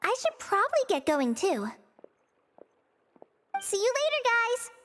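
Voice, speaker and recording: A woman voicing a tiny, chirpy girlish companion in a game, with a high squeaky voice and a bubbly sing-song manner. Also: sing-song, unhurried, fairly bright tone, heavily acted. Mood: cheerful.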